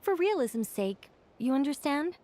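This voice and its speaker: A woman voicing an elegant, composed woman who speaks in a measured and gently teasing way. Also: high-pitched, very animated. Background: faint game music.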